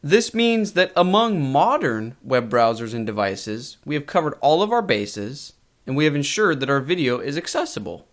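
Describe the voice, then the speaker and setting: A man narrating a scripted tutorial as a course instructor, measured and clearly enunciated. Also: a little high, big pitch swings. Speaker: web design course instructor.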